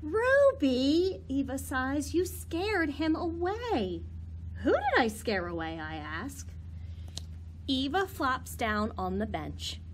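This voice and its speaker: A woman's voice: high-pitched, big pitch swings, slow, slight background noise. A woman reading a children's story aloud, with faint ambience in the recording.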